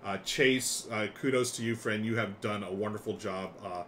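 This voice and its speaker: A middle-aged man with a fairly low voice hosts a board game stream. He talks in a chatty, enthusiastic, off-the-cuff way, with clear noise in the recording. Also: normal speed. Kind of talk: casual live-stream chat and game demonstration. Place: small room lined with board games. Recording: clear steady background noise.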